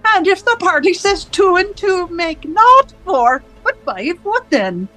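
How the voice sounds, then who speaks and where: A woman playing a cold, domineering interrogator in a radio drama, speaking in deliberate, commanding, rhetorical questions and pronouncements. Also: very high-pitched, sing-song, sharp tone, soft background music, full character voice. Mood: stern, pressing. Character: cold, domineering party interrogator and torturer, righteous and controlling. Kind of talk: theatrical radio drama acting.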